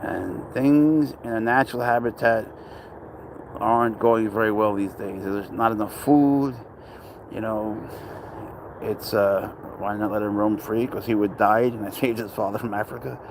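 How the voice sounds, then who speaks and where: A man's voice: fairly low pitch, measured pace, noisy background. A man who grew up in Spain, hosting a casual livestream, rambling as he reads out and answers viewers' comments. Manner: chatty, rambling, answers chat questions aloud. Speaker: livestream host.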